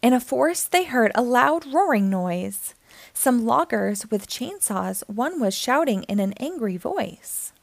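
A woman hosting storytime, reading a children's picture book aloud in an expressive, deliberate storytelling manner. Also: on the high side, creaky, no echo. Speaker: storytime host and reader.

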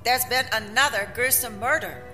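Actress as a high-voiced, serious news anchor. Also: female voice, natural voice. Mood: grave, urgent. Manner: formal, measured newsreading. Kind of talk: scripted news report in audio drama. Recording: clear music bed behind.

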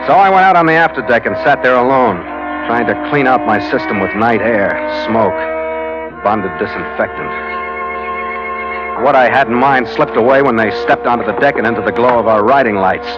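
An actor playing a wry, hard-boiled, world-weary sea captain in an old-time radio drama. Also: male voice, mid-range voice, normal speed, close to an everyday voice. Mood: wry narration. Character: cynical, world-weary sea captain narrating his adventures. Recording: muffled vintage radio with music behind.

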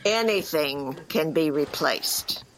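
An actress playing a stern, practical old rural great-aunt in an audio drama, with a folksy, no-nonsense way of talking. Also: female voice, sits low, expressive, slow, fairly bright tone, slight background noise, full character voice, low-quality recording. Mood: reassuring. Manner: firm, no-nonsense.

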